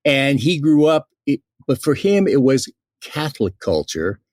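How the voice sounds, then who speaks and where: Dry-sounding halting male podcast host. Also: mid-range voice, big pitch swings, a little mellow, no room sound. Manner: halting, thinks aloud with many fillers. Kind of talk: conversational interview.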